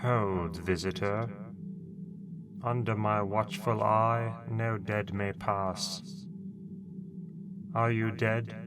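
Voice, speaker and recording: A man's voice: lowish voice, fairly even intonation, music in the background. A man playing a stern enchanted tomb door. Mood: solemn. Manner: slow, solemn, commanding.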